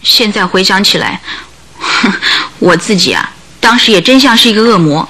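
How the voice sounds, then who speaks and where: An actress playing a bitter, anguished circus owner's wife in a Chinese radio drama, speaking with dramatic emphasis. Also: female voice, medium pitch, expressive, moderate pace, sharp tone, rough recording. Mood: bitter, confessional.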